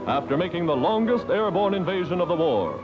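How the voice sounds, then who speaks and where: High-pitched 1940s newsreel man, declamatory. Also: very animated, fairly quick. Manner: declamatory, emphatic announcer delivery. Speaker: newsreel narrator. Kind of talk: 1940s newsreel narration, scripted. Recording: dull vintage 1940s film soundtrack, loud music. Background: loud music.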